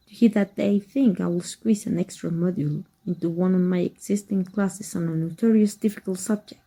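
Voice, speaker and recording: A woman playing an exiled evil magic teacher in an ASMR roleplay, wry and fond, speaking softly and intimately with a husky, breathy voice. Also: on the low side, rough recording. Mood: dryly sarcastic. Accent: Mexican. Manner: wry, unhurried, intimate, addressing a former student.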